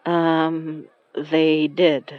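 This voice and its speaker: An actress playing a gentle, anxious young woman who senses haunted objects, speaking haltingly in audio fiction. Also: sits low, level tone, no room sound, muffled recording. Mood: shaky, subdued. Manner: hesitant, halting, stammering. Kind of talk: audio fiction acting with first-person narration.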